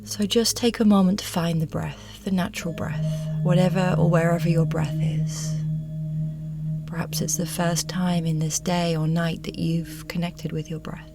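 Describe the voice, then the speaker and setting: A woman leading a guided yoga nidra meditation in a soothing, hushed voice that often softens toward a whisper. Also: on the low side, steady tone, moderate pace. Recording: music bed with singing bowl tones. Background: meditation music with singing bowls.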